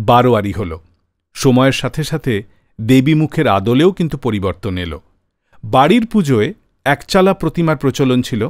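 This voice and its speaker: A man's voice: medium pitch, dry recording, studio quality. A man with an Indian Bengali accent hosting a radio show with scripted narration.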